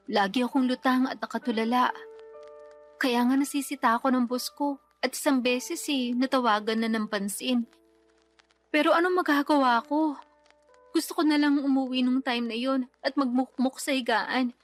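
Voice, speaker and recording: A woman's voice: high voice, natural voice. A woman playing an exhausted, resentful young single mother in a radio drama, over faint music. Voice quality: weary, complaining, defensive. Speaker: the letter sender in the scene. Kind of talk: Tagalog radio drama acting. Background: faint background music.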